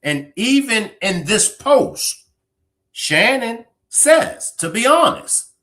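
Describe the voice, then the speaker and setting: A man's voice: very high voice, big pitch swings, slow, bright, forceful tone. A man hosting live commentary, addressing his audience emphatically as he reacts. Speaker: host reacting to clips.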